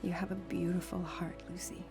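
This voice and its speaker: A woman playing a solemn, quietly determined character in a dramatic video game cutscene. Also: on the low side, level tone, normal speed, vocal fry, over music. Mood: tender, mournful.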